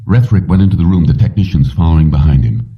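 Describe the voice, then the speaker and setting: A man reading a suspense story as an audiobook narrator, in measured, dramatically broken phrases. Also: low voice, expressive, recorded from a distance, highs cut off.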